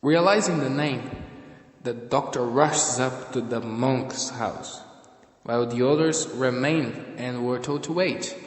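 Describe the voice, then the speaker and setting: A man reading scripted story narration in a halting way, stumbling over some words. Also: mid-range voice. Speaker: narrator and reader of a fan audio drama. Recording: echoey room with long reverb.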